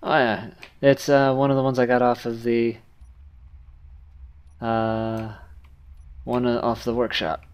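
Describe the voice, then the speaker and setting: A man giving casual gameplay commentary as host, with a halting, hesitant delivery. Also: mid-range voice, little pitch movement, clear, resonant tone. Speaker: gaming video host.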